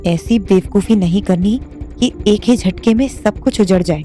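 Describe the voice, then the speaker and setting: A woman plays a brooding young woman bent on revenge in a crime romance audio drama. Her voice is dark and mellow, at a medium pitch, with a cold, guarded edge. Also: normal speed, faint music behind, natural voice. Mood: brooding, scheming.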